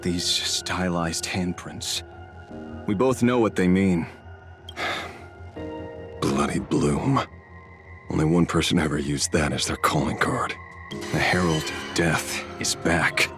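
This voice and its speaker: A low-voiced, world-weary detective. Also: male voice, slow, long pauses. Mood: grim. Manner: brooding, terse. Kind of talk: dramatic video game acting. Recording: background music.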